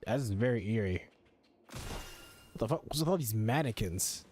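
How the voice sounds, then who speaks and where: A man's voice: mid-range voice, lively intonation, moderate pace, a little mellow, slight background noise. A male game streamer giving casual, blunt reaction commentary, incredulous and freely swearing. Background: faint game music.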